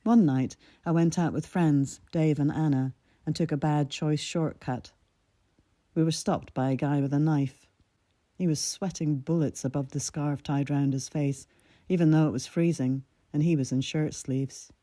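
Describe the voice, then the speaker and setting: A woman in her sixties reads her own essay aloud in a measured, reflective storytelling voice. Her mid-Atlantic accent carries a light Scottish lilt, and her tone is deeply mellow and dark. The sound is dry and close, with no room around it. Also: fairly even intonation, dry recording. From Scotland. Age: 60s. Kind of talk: scripted personal essay reading.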